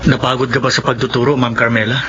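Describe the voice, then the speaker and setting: Actor as a quick-talking reassuring suitor. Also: male voice, medium pitch, level tone, music in the background, natural voice. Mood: concerned. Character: Willie, persistent, reassuring male teacher and suitor. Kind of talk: Filipino radio drama acting. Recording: dull radio recording, clearly noisy.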